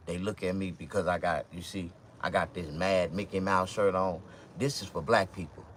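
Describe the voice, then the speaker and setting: A man around fifty with a Mississippi accent, talking to camera in a loose, profane, rambling monologue with sudden loud outbursts. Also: sits low, steady tone, moderate pace, a little hiss or hum. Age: 50s. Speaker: vlogger and stand-up comedian.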